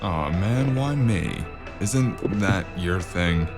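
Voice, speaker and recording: An actor playing a jaded, wry investigator with a deep, creaky voice in a noir audio drama. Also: male voice, lively intonation, very slow, over music, full character voice. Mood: grudging. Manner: wry, world-weary, casual. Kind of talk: noir audio drama with first-person narration.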